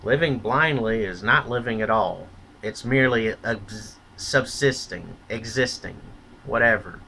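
Low-voiced man ranting, sharp edge. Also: unhurried, gravelly, a little hiss or hum, rough recording. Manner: blunt, profane, contemptuous. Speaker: vlogger. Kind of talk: opinionated rant monologue.

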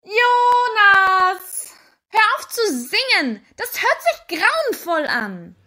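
A defiant teen witch girl from a radio play. She has a very high, girlish voice and an exasperated edge. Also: big pitch swings, extremely slow, pushed and bright, heavily acted. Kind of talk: German audio drama acting.

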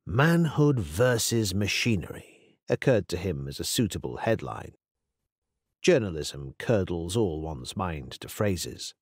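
A man's voice: deep voice, big pitch swings, measured pace, slightly rough, dry recording, clean studio sound. A man with an English accent reading literary fiction in a scripted audiobook style. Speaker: audiobook narrator.

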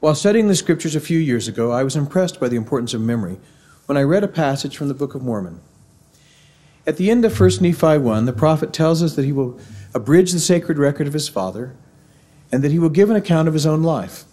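A middle-aged man with a Missouri accent giving a formal devotional address at a university, in a measured, earnest lecturing manner, at a podium microphone in a large auditorium. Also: fairly high pitch, sing-song, warm tone. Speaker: university speaker.

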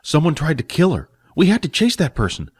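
A man's voice: on the high side, wildly swooping pitch, a little fast, slightly rough, voice pushed up, full character voice. A man voicing Jack, an eager, nervous young man who talks earnestly.

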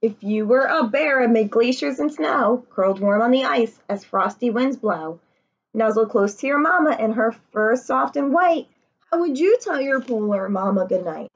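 A woman's voice: on the high side, animated, normal speed, husky. A young woman reading a children's picture book aloud, expressively, putting questions to her young listeners. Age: young adult. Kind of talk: children's read-aloud with asides. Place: living room, indoors. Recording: slightly dull webcam sound.

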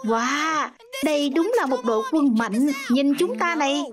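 A woman dubbing every character in a cartoon herself, reading the lines in quick, lively turns. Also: high-pitched, noisy background. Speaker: dubbing narrator voicing all cartoon characters. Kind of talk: single-voice cartoon dub. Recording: dub over loud music.

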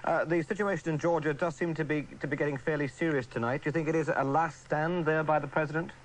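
A man's voice: a little high, steady tone, fast. A man interviewing live as a radio news presenter. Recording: distant, faintly noisy radio broadcast.

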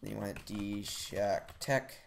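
Hesitant young man, low flat voice. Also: unhurried, vocal fry, a little hiss or hum. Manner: casual, hesitant, frequent ums and restarts. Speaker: tech channel host. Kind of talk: screen-recorded software tutorial.

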